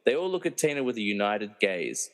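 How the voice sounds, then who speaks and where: Theatrical comedy narrator played by a man, mid-pitched and very animated, dry mocking asides, long hall reverb. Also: fairly quick. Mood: suspenseful. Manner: wry, theatrical. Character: wry, meddling movie narrator who mocks the characters. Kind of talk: dramatic scripted narration with comic asides.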